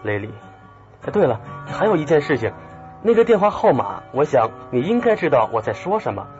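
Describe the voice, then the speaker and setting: An actor playing an earnest, bewildered, lovestruck young man in a radio drama, with a husky voice. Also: a little high, big pitch swings, fairly quick, darkish tone. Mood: hurt, insistent. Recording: dull muffled audio, faint music.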